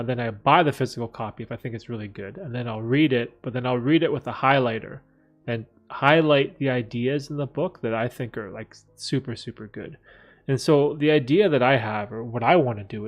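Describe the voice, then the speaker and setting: A man at a mid pitch talks loosely to his viewers, circling back on himself and padding his thoughts with fillers. He sits in a room with a bookcase behind him, and faint music hums under his voice. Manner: rambling, many fillers and restarts. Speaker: livestream host answering viewer questions. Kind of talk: casual livestream Q&A.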